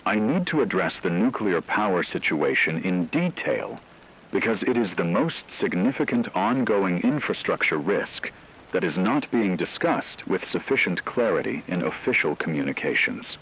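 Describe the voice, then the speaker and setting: A man playing a grave scientific expert, giving a measured, formal, technical briefing in a scripted radio drama about a disaster. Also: low voice, big pitch swings, fairly bright tone, a little hiss or hum, exaggerated voice, rough recording. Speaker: radio broadcast expert commentator.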